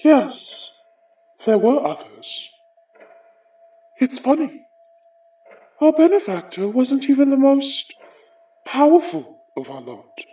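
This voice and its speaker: Bitter aristocratic British spectre, horror podcast. High register, very animated pitch, deliberate formal diction. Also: female voice, far from the mic, muffled recording, heavily acted. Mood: bitter, guarded. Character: haughty, bitter aristocratic ghost of an Edwardian occultist. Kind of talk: horror audio drama acting.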